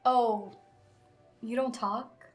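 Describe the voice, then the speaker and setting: A woman's voice: fairly high pitch, fairly even intonation, extremely slow, faint music behind. A woman talking casually as a player in a tabletop roleplaying game.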